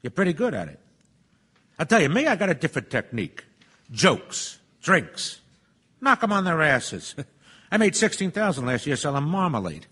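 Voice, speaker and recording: Impatient salesman juror, highish pitch, very animated, blunt, slangy, radio drama. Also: moderate pace, slightly rough, heavily acted. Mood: smug needling.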